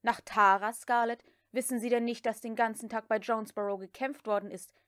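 Female reader doing a cool mocking gentleman in an audiobook, bossy but protective. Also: a little high, fairly even intonation, fairly quick, no echo, clean studio sound, lightly acted. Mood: sharp, disbelieving. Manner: sardonic, crisp, commanding. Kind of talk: dramatic audiobook character reading.

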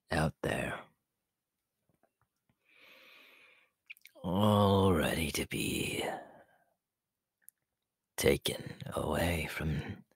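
Sinister, possessive male snake villain for ASMR roleplay: extremely deep, very slow, raspy and menacing. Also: no echo, heavily acted. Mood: gloating menace. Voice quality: breathy, raspy whisper. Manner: slow, drawn-out, gloating.

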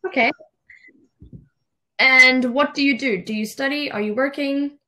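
A young woman with a South African accent leads a casual English lesson over a video call. Her voice is a touch high, and she speaks as a tutor, putting simple questions to a learner. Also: a little slow, bright, forceful tone. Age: young adult. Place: indoors in a room, on a video call. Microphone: webcam video call.

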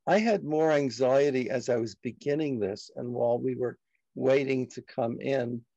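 Older male host from Brooklyn, Yiddish first language, gravelly and deliberate, on a slightly muffled video call. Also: medium pitch, dark tone, no echo. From Brooklyn, New York. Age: older, about 70s. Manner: halting, deliberate, frank. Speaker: discussion host. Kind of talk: reflective group discussion. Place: dim home study, indoors. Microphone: computer on video call.

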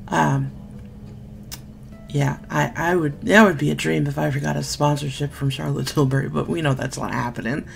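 A woman's voice: low-pitched, fairly even intonation, moderate pace, gravelly. A woman in her thirties, sick and losing her voice, chatting casually and candidly about beauty products on a desk webcam at home. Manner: chatty, candid, mildly sarcastic. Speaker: beauty vlogger. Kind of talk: casual product unboxing chat. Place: small room at home, indoors.

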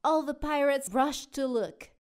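A woman narrating a children's storybook aloud in an expressive, dramatic way. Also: high-pitched, a little slow. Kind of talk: animated children's read-aloud.

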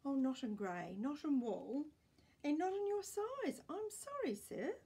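A woman puts on a fussy menswear salesman from a picture book. He talks in courteous, apologetic patter, high and very animated. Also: a little slow, full character voice.

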